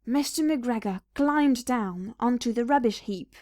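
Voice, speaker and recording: A woman reading a children's story aloud as narrator, expressive and measured. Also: on the high side, no room sound, clean studio sound.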